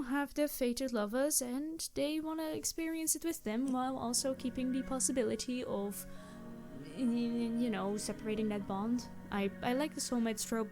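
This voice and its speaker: Casting: female online creator, higher-pitched, chirpy and animated, casual host chat to followers. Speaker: ASMR roleplay creator and host. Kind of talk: casual chat to channel audience.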